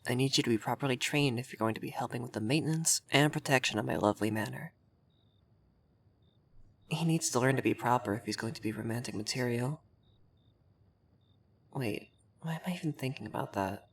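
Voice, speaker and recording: A man's voice: mid-range voice, brisk, vocal fry, pauses often. A man voicing a haughty, flustered, effeminate vampire lord in a scripted ASMR roleplay, prim and bossy in manner. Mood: stern, teasing, then flustered musing.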